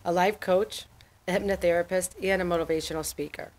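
A middle-aged woman with a slightly low voice hosts an interview on a small studio set. She is attentive and encouraging, asking short, steady questions. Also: fairly quick. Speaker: talk show host. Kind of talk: interview hosting.

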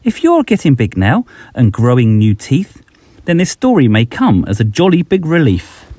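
A man's voice: medium pitch, huge pitch swings, moderate pace, dark tone. A middle-aged man reading a rhyming bedtime story aloud, expressively, as a children's author addressing young listeners.

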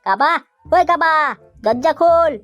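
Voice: very high voice, lively intonation, no room sound, lo-fi sound, soft background music. A performer voicing a brash, foul-mouthed young male village gangster in a comic cartoon, swaggering and coarse. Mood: angry, threatening. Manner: swaggering, coarse, quick to threaten. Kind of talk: comic cartoon dubbing in colloquial Bengali.

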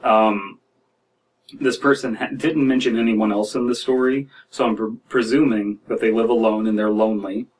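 A man in his thirties reading and reacting to stories as a podcast host, in a halting, wry, casual way. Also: lowish voice, fairly even intonation, recorded from a distance, poor audio. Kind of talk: casual commentary and story reading. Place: small dim room indoors.

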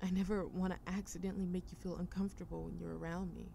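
A woman's voice: lowish voice, flat delivery, quick pace, gravelly, dark tone. A woman playing a shy, affectionate young girlfriend who overthinks everything, hesitant and stammering in an intimate roleplay audio drama. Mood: anxious, confessional. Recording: faint ambient noise behind voice.

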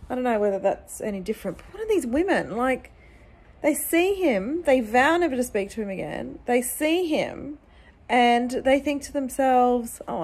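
A woman in her thirties with an Australian accent, chatting casually and bluntly in a book vlog, filmed on a phone at arm's length at home. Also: high voice. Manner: chatty, blunt, exasperated asides. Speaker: book vlogger. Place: car, then living room at home. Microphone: phone camera at arm's length.

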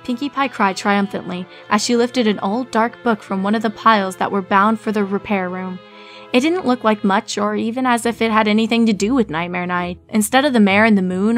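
Female storyteller, medium pitch, scripted narration, light music bed underneath. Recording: music behind the voice.

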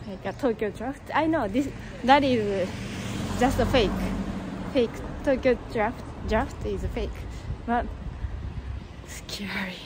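Japanese woman in her twenties, easygoing vlogger, speaks slowly in a high voice over street noise. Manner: chatty, reads viewer comments, hums song snippets. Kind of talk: casual live-stream chat. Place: suburban street outdoors, walking. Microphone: phone or action camera at arm's length. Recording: noisy outdoor street sound.

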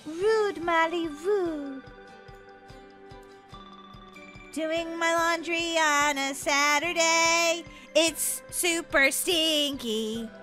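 A woman's voice: sits high, lively intonation, normal speed, pushed and bright, soft background music. A woman voicing a playful, whiny, sassy young girl in an exaggerated childlike manner, role-playing over a video game. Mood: mocking, sulky. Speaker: gaming channel host.

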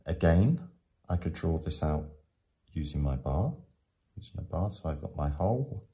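A man teaching in a step-by-step tutorial, explaining patiently with frequent pauses. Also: very deep voice, animated, mellow. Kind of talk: step-by-step maths tutorial narration.

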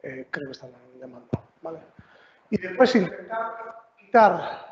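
High-voiced man lecturing in a hall. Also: lively intonation, a little slow, a crowd in the background. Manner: explanatory, frequent filler checks. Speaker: meetup speaker. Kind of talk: technical conference talk. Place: large meeting room. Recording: lo-fi hall recording with faint noise.

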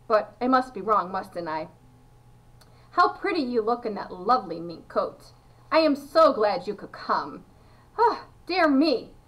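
A high, swooping voice for an eccentric little factory owner, acted out by a woman. He is effusive and excitable, piling up exclamations and repeats in storybook style. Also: rough recording. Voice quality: high-pitched.

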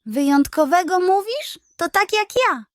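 A greedy little boss of a pug from a children's storybook, played by a woman. The voice is pitched high and full of self-importance, sly and theatrical. It sounds close and dry. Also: animated, measured pace, no room sound. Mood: pompous, delighted. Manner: pompous and nonchalant, turning plaintive.

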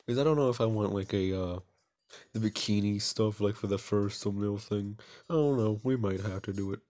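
A man's voice: fairly low pitch, normal speed, long pauses, dark tone. Casual man reading a visual novel aloud. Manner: stumbles over words while reading, self-mocking. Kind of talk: casual gaming commentary and read-aloud narration.

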